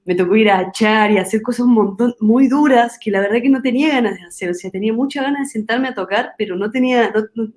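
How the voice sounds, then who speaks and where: A woman's voice: on the high side, fast, hardly any pauses, a little mellow. Argentine woman musing thoughtfully. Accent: Argentine Spanish. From Argentina, Córdoba. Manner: thoughtful, searching for words, many restarts. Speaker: guest singer-songwriter. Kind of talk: reflective interview talk.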